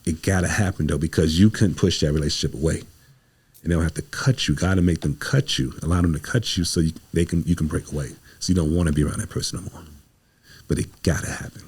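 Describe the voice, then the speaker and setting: A man's voice: very deep voice, fairly even intonation, fast, darkish tone, husky. An American man talking motivationally as a podcast guest, in an emphatic, preacherly way, often repeating phrases. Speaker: podcast guest, playwright and director. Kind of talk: motivational conversational talk.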